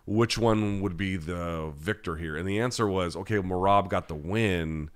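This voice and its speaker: A man's voice: low-pitched, normal speed, smooth. An American man hosting a live-chat podcast, answering listener questions in a blunt, conversational, opinionated way. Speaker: podcast host.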